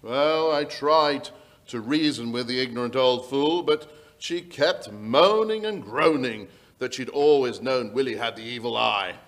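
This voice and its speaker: Mid-pitched, worried gentleman landowner, played by a man. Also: a little slow. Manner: hesitant, polite storytelling. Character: worried aristocratic landowner, reasonable and skeptical. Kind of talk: old-time radio acting. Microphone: shared stage microphone.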